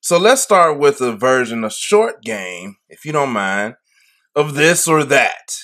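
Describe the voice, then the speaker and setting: A man's voice: mid-range voice, sing-song, unhurried, a bit bright. A man hosting a podcast interview, upbeat and hyped, often repeating his phrases. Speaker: podcast host. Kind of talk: casual interview chat.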